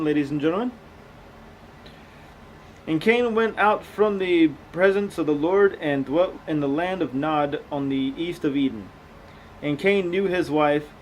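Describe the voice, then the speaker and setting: A man in his thirties reading aloud and commenting casually, with an informal, halting delivery and frequent self-corrections. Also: on the high side. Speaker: vlogger reading and commenting on scripture. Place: small room at home. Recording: clearly noisy amateur home recording.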